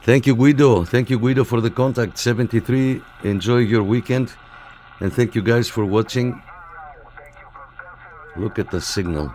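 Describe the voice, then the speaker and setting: A man's voice: mid-range voice, moderate pace, a little mellow, low-quality recording. A man making casual radio contacts and chatting to viewers, informal and repeating radio call phrases. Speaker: CB radio operator and YouTube channel host. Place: indoors in a room at a radio set. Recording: clear background noise and radio clicks.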